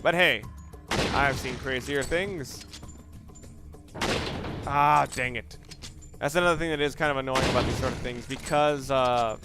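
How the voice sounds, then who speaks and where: A man's voice: mid-range voice, unhurried, pauses often. A man giving casual, off-the-cuff video game commentary, rambling and often rephrasing himself mid-sentence. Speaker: let's play commentator. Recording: loud game music and gunfire behind. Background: video game music and gunshots.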